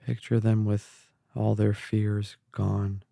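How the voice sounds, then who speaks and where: A man guiding a meditation in a calm, gentle, measured way, leaving long pauses between phrases. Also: lowish voice, gravelly, dry recording, clean studio sound. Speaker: guided meditation instructor. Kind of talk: slow guided meditation narration. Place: close, dry voice-only recording.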